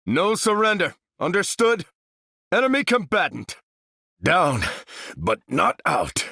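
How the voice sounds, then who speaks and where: An actor playing a bold, determined battlefield hero in a video game, rallying soldiers in a clipped military delivery. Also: male voice, high-pitched, sing-song, slow, creaky, pushed and bright, slightly rough, no room sound, clean studio sound, heavily acted. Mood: urgent, rallying. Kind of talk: video game character voice lines.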